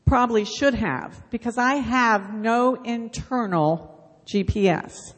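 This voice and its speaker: A woman's voice: on the high side, slow pace. A middle-aged woman leading a church Bible school, speaking casually into a microphone and telling self-deprecating stories. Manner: chatty, self-deprecating storytelling. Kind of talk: casual church announcement and talk. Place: church sanctuary. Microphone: handheld microphone. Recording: long reverb of a church hall.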